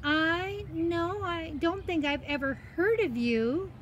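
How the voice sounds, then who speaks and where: A woman's voice: very high-pitched, takes it slowly, lo-fi sound, voice pushed up. A woman voicing a timid, polite little girl in a children's story, hesitant and earnest. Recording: outdoor ambient noise, faint.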